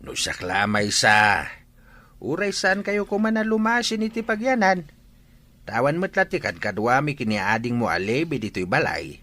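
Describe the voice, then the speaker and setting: A man's voice: mid-range voice, sing-song, moderate pace, sharp tone. A man playing a stern but caring older father giving advice in a radio drama. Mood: stern, advising.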